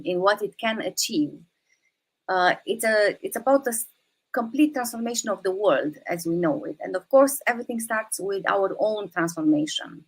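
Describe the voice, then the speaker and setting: A woman's voice: medium pitch, breathy edge, rough recording. A woman from Romania, giving an earnest, thoughtful explanatory talk full of "uh" and "um" and restarts. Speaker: guest speaker, teacher of quantum activism. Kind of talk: explanatory talk in an online session.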